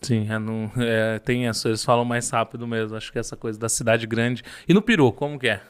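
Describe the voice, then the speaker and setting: A man's voice: mid-range voice, fairly even intonation, fast, clear, resonant tone. A man hosting a casual interview chat, agreeing often and asking follow-up questions. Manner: conversational, affirming, asks follow-up questions. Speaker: host.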